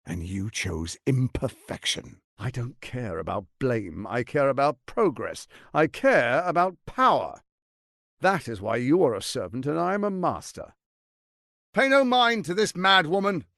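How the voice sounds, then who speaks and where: A man's voice: medium pitch, big pitch swings, a little slow, vocal fry, a bit bright, dry recording, clean studio sound, exaggerated voice. A man voicing a suave, scheming, arrogant archduke in a fantasy video game, silky, grandiose and condescending in his theatrical delivery. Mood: cold, contemptuous.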